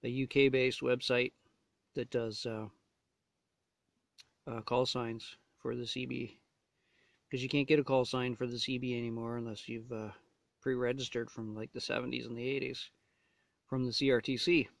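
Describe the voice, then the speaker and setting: A man's voice: medium pitch, flat delivery, normal speed, gravelly, long pauses, no room sound. A man casually demonstrating gear as a reviewer, talking in short, hesitant phrases. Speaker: gear reviewer showing a radio install.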